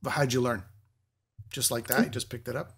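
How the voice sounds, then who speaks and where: A man's voice: medium pitch, fairly even intonation, a little fast, a bit bright. A middle-aged man, a native Greek speaker, hosting a casual interview in an informal, repetitive way. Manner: informal, repeats himself. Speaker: interview host.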